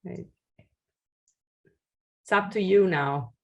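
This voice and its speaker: Low-voiced, slow, hesitant woman. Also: vocal fry, lo-fi sound. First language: Italian. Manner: hesitant, many fillers and restarts. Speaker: investor workshop speaker. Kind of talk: webinar presentation and Q&A.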